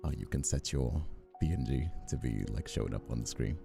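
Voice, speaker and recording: A man's voice: a bass voice, a little fast, creaky. A man chatting casually on a live stream as its host, affectionate with his viewers, with a flirty touch. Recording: faint music behind the voice.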